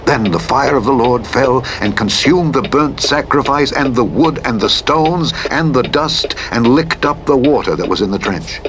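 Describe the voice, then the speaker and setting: A man reading scripture as a scripted narration, in a measured, solemn and declamatory manner. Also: mid-range voice, lively intonation. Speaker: audiobook narrator. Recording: clear vehicle noise over a radio playback. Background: truck engine and driving noise from a simulator game.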